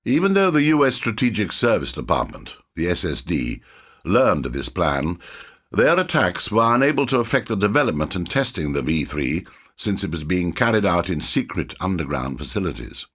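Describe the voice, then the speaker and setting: A man's voice: low voice, very animated, moderate pace, fairly bright tone. A man narrating a war game cutscene with an emphatic, formal, scripted delivery.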